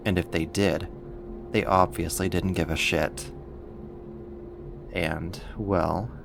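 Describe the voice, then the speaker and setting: A man's voice: low voice, creaky, heavily acted. A man playing a sadistic, mocking villain and kidnapper in an ASMR roleplay, with a controlling, condescending manner. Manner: slow, taunting, controlling, condescending.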